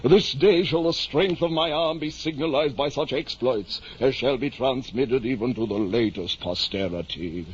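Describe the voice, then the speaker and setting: A man's voice: medium pitch, moderate pace, hardly any pauses, slightly rough, natural voice. Man acting a grandiose deluded old-time radio knight. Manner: pompous, archaic, declamatory speech. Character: deluded, grandiose gentleman knight nearing fifty. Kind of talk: 1950s radio drama acting. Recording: muffled, noisy old-time radio recording.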